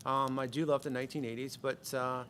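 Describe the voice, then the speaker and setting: A man's voice: mid-range voice, little pitch movement, fairly quick, fairly bright tone, slight background noise. A man presenting a handbook and policy plan to a school board, earnest and hesitant, with many fillers and restarts. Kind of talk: meeting presentation.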